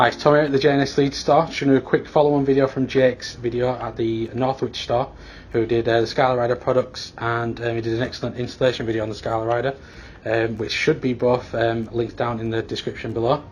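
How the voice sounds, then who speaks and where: A man's voice: medium pitch, level tone, fast, hardly any pauses. A man presenting a step-by-step product tutorial in a plain, matter-of-fact way. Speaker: shop staff presenter.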